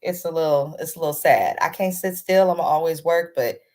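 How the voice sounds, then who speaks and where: A woman with a somewhat low voice hosts a chatty TV recap. She sounds under the weather, a little congested and not quite crisp. Her delivery is informal and aimed straight at her viewers. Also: a little fast, a little mellow. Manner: informal, addresses viewers directly as "you guys". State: under the weather, sore throat with throat clearing. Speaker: host of a TV recap channel. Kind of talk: casual, chatty reality TV recap.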